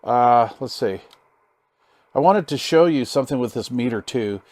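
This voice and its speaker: A man with a mid-pitched voice presents a hands-on hobby demonstration in a casual, unscripted way. His delivery is halting and easygoing, with folksy phrasing and a habit of muttering to himself. The sound is dry, with little room echo. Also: lively intonation, a little mellow.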